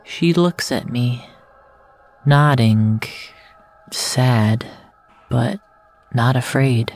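A man acting as the solemn first-person narrator of surreal fiction, with a deliberate, literary delivery. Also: medium pitch, a little mellow.

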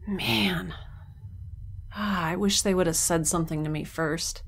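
A woman's voice: lowish voice, steady tone, measured pace, gravelly, slightly rough, heavily acted. An actress playing a sarcastic young woman stranded in space, recording an audio log. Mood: wry. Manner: wry, sarcastic. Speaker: narrator of her audio log. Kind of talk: audio drama acting.

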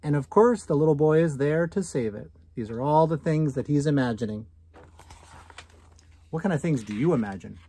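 An American man in his thirties reading a picture book aloud to children, commenting and asking questions with drawn-out emphasis on key words. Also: a little high, animated, dark tone, breathy edge. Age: 30s. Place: small indoor room at home.

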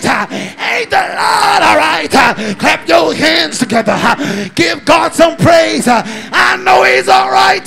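A man delivers a fervent sermon at a shout, with heavy gravel in his voice. He moves slowly through short, repeated phrases in a half-chanted rhythm, each punctuated with "uh". Music plays behind him. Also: extremely high pitch. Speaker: preacher. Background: music behind the preaching.

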